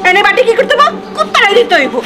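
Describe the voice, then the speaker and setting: An actress playing a scolding, complaining village aunt in a comic short drama. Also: female voice, extremely high pitch, big pitch swings, rapid-fire. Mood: aggrieved complaining. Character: scolding village aunt, quick-tempered and hard-worked. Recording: loud music and crowd behind, outdoors.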